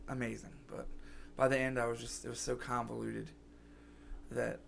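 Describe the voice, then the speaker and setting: A man's voice: mid-range voice, little pitch movement, normal speed. A man co-hosting a podcast in casual chat, his voice scratchy from being sick, rambling with lots of "like" and restarts. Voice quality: gravelly. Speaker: podcast co-host.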